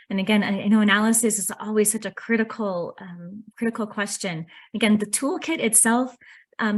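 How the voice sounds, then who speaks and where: A woman presenting on a video call, talking in a measured, earnest way with frequent "um" fillers. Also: medium pitch. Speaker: workshop presenter. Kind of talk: webinar presentation. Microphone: computer mic on a video call.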